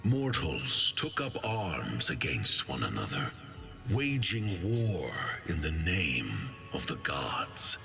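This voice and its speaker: Gravelly, deep, portentous myth narrator. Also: male voice, wildly swooping pitch, slow pace, sharp tone, soft background music, heavily acted. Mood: ominous, solemn. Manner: solemn, portentous, theatrical. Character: grave epic narrator of ancient divine wars. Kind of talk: video game myth narration.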